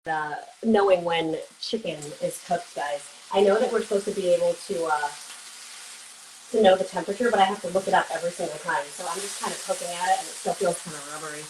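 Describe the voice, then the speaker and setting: A woman's voice: on the low side, quick pace, a little mellow. A woman hosting a casual interview show while cooking, chatting informally to her guest and viewers. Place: kitchen, cooking along on a livestream. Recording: noisy video call with kitchen sizzle. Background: food sizzling, hiss.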